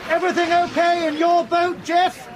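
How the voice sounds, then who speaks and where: An actor playing a weary, remorseful ship's captain in a radio drama, halting and clipped. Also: male voice, very high-pitched, little pitch movement. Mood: firm, commanding. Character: weary, bitter, remorseful male skipper in command. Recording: radio drama with clear background noise.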